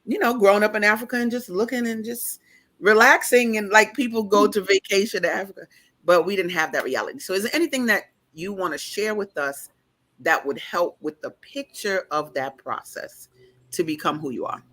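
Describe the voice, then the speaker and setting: A woman host talks over a video call. Her voice is bright and forceful. She rambles through long, lively questions, circling back on herself as she goes. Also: medium pitch, a little fast. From New York. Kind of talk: casual interview question.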